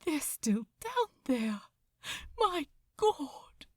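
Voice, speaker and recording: Woman voicing an earnest, high-voiced male angel. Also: big pitch swings, drawn-out words, darkish tone, no echo, clean studio sound, heavily acted. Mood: horrified. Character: earnest, astonished male angel, gentle and proper. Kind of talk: audiobook character voice.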